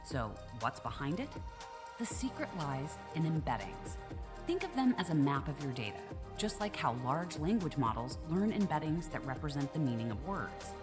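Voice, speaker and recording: A woman reading a scripted promotional voice-over in a polished, smooth delivery. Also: sits low, big pitch swings, normal speed. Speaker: promo video narrator. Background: music bed.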